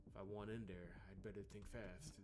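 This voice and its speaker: A man's voice: lowish voice, little pitch movement, brisk, music in the background, natural voice. A man reading out an anxious, sardonic young visual novel protagonist's thoughts and lines. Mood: muttering, uneasy.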